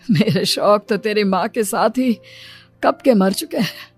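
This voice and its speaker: Woman as an elderly doting father, anxious parent, storybook dialogue, mid pitch, faint music. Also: a little slow, natural voice. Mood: tender, resigned. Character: ageing widowed father, loving and worried for daughter. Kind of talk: moral story character dialogue. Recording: music behind the voice.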